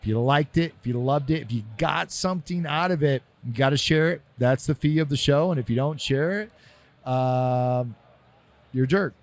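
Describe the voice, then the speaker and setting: A man hosting a podcast interview, at an ordinary middle pitch. He talks in loose, joking banter and likes to needle his guest. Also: normal speed. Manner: joking, pushy, energetic banter. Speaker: podcast host.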